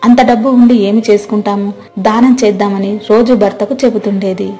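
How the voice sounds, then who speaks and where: A woman's voice: mid-range voice, mellow. A woman reading scripted narration for a moral tale as the storyteller. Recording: music behind the voice.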